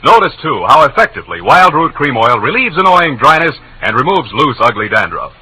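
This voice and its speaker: A man delivering an emphatic, polished 1940s radio advertising pitch as a commercial announcer on an old broadcast recording. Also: sing-song, fairly quick, bright, forceful tone, slightly rough, rough recording.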